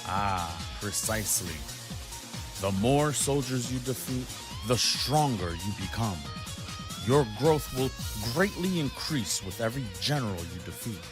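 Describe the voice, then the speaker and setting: A man's voice: mid-range voice, animated, measured pace, lightly acted. A man playing a solemn, commanding mystic mentor in a fan audio drama. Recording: music under the voice.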